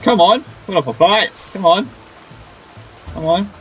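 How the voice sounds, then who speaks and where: Guy playing a game and reacting, slowish talker, kinda high voice, playful taunts. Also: lively intonation, soft background music, rough recording. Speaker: gaming video creator. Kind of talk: casual let's play commentary.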